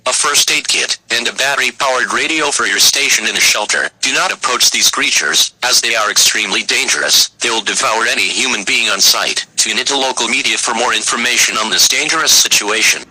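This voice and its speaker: A man's voice: medium pitch, animated, fairly quick, hardly any pauses, breathy edge, poor audio. A man reading a scripted emergency broadcast announcement in a formal, solemn manner. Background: loud music.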